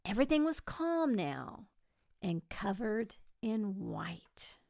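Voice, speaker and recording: A woman reading a children's picture book aloud expressively, with gentle delivery and dramatic pauses. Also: medium pitch, big pitch swings. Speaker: storybook reader.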